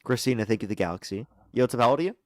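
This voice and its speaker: A young man hosting a live call-in debate stream, briskly screening callers with sarcastic asides. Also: mid-range voice, dry recording, studio quality. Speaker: livestream debate host.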